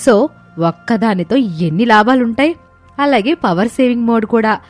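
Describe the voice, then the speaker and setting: A woman reading the dialogue of a family story in a bright, explaining tone, with soft music behind. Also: fairly high pitch, normal speed. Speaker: narrator voicing characters.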